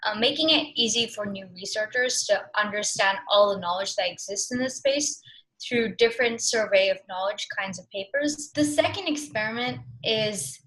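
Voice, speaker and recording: A young woman in her twenties answers questions in a chatty, casual way, often reaching for "like" and "um". Her voice is very bright and forward, at an average pitch. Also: moderate pace, low-quality recording. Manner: chatty, with frequent "like" and "um". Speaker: fellow and researcher.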